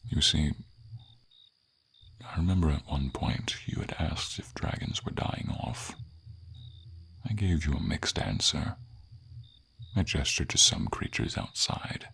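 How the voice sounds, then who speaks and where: A man playing a gentle, noble, melancholy silver dragon in an ASMR fantasy roleplay, speaking tenderly and formally in a breathy, gravelly voice. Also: exaggerated voice. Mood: reflective, sombre. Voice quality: husky, gravelly, breathy low voice. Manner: slow, tender, formal, hesitant phrasing.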